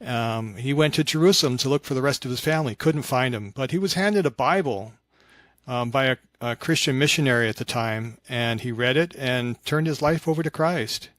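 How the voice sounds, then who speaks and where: A mature man speaks as an interviewed author, in a conversational storytelling style. His delivery is reflective and halting, with a medium-pitched voice recorded close and dry. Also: no echo. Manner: halting and reflective, animated when acting out remembered dialogue. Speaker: podcast guest, author of fiction.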